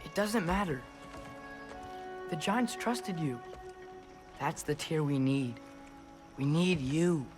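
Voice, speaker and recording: An actor playing an eager, earnest young hero who tries to persuade a weary god. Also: male voice, fairly high pitch, slow, long pauses. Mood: pleading. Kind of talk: video game cutscene acting. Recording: game audio with music underneath.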